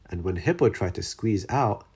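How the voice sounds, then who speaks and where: This is a storyteller reading a picture book to children. The delivery swings widely in pitch and leans into dramatic emphasis. The voice has a husky edge. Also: animated, normal speed, darkish tone. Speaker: storybook reader. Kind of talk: read-aloud children's story narration.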